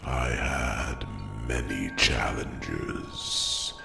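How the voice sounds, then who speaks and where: Extremely deep, gravelly dragon king. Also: full character voice. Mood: solemn, proud. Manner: slow, halting, solemn storytelling. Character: old male dragon, former Alpha king, solemn and regretful. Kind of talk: ASMR fantasy roleplay. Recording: echoing voice over music and chimes.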